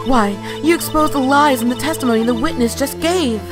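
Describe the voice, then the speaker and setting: Game fan dub mentor female actor: assured lawyer, slightly high voice, instructive and encouraging, music underneath. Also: brisk, natural voice. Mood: coaching. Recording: music over the voice.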